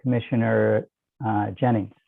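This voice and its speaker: A man serving as general counsel, calling the roll for a vote in brisk, clipped phrases. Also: on the low side, little pitch movement, creaky, no echo. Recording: tinny, dry phone-like line.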